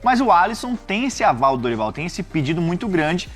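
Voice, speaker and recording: A man's voice: high voice, very animated, a little fast, soft background music. A Brazilian man chatting as a panelist in a casual football debate.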